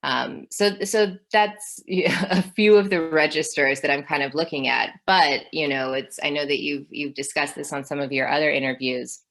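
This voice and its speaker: Low-voiced woman historian, thoughtful. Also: fairly even intonation, moderate pace, creaky, hardly any pauses, a bit bright. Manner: thoughtful, with many fillers. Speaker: guest historian. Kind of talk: academic interview.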